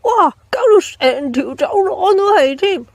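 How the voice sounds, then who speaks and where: An actress playing a flustered, bossy building security guard in a radio drama, with a nagging Hong Kong way of speaking. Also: female voice, extremely high pitch, lively intonation, a little slow, darkish tone, no room sound, heavily acted. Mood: flustered, urgent. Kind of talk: Cantonese radio drama acting.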